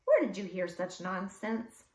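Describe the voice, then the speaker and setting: A woman's voice: medium pitch, sing-song, measured pace, a little mellow, reverberant. A woman voicing a grumpy, scornful older uncle, a tiny man in a children's storybook, with a blustering, dismissive manner.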